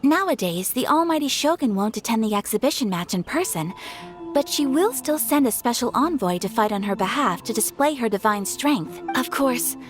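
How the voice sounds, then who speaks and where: A refined young noblewoman from a video game, voiced by a woman. Her fairly high voice is poised and formal as she explains things courteously, with faint music behind. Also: lively intonation, normal speed, close to an everyday voice. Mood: composed, explanatory.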